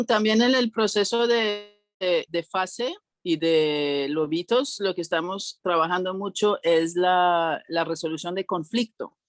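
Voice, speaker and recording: A woman speaking as an interview guest, explaining things conversationally and haltingly, often breaking off and repeating words. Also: fairly low pitch, no room sound. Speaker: podcast guest.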